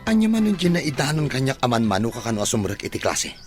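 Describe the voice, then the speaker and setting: A man's voice: mid-range voice, big pitch swings, quick pace, natural voice. A man playing a stern older brother in an Ilocano radio drama. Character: stern older brother scolding his student sister. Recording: radio drama with music bed.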